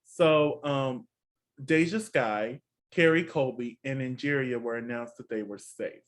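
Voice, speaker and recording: A man's voice: mid-range voice, unhurried. A man with a Texan accent co-hosting a casual TV recap chat, with sassy asides. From Texas. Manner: chatty, sassy asides like "honey". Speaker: podcast co-host.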